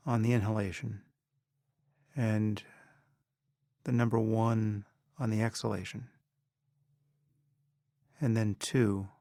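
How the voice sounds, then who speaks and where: A man leading a guided meditation as a teacher, in a measured, instructional manner with pauses between phrases. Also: medium pitch, vocal fry, a little mellow, no room sound, studio quality.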